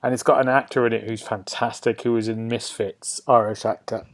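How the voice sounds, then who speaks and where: A man's voice: fairly low pitch, level tone, a little fast. A man hosting a podcast, chatting casually about games and television in a rambling, enthusiastic way. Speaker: podcast host.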